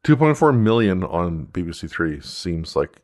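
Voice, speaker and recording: Very deep-voiced man, halting and casual. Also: very animated. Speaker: podcast host. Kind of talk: casual fan discussion.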